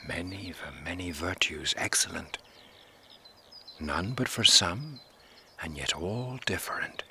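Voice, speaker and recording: A contemplative older friar with an extremely low, bright voice. He muses slowly through Shakespearean verse, with faint ambient noise behind him. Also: full character voice. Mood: musing, serene. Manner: measured, musing. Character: contemplative older friar gathering herbs at dawn. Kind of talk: classical verse audio drama.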